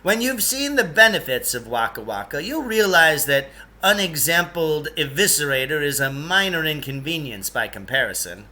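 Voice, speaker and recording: A man's voice: a little high, big pitch swings, normal speed, pushed and bright, full character voice. A man voicing a pompous, jittery eccentric guide in a storybook, with emphatic, florid delivery. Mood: self-satisfied. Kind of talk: storybook character voice.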